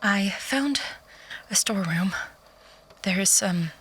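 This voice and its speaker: A woman playing a frightened, hungry survivor in an audio drama, speaking in a hushed, halting, breathy voice. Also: mid-range voice, animated, slight background noise. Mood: scared, hushed. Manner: halting, hesitant.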